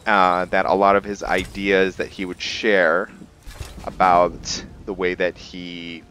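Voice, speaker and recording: A man giving casual, improvised game commentary in a halting, think-aloud manner as he narrates a roleplay playthrough. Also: low voice, level tone, a bit bright. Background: background game music.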